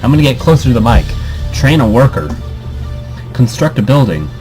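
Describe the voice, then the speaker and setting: A man's voice: mid-range voice, sing-song, brisk, music in the background. A man doing casual let's play commentary, reading game text aloud and breaking off into rambling asides. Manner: rambling, self-interrupting asides. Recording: noisy amateur home recording.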